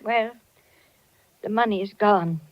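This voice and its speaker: An actress playing a worried, morally troubled wife with emotional, dramatic delivery in 1940s radio style. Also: female voice, medium pitch, slow, lightly acted. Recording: dull, band-limited 1940s radio recording.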